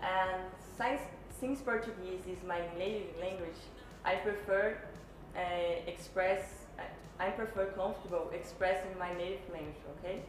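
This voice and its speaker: A woman's voice: fairly low pitch, moderate pace. A woman in her twenties with a Brazilian accent, speaking as a pageant contestant in a live question session. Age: 20s. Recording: very echoey, noisy room.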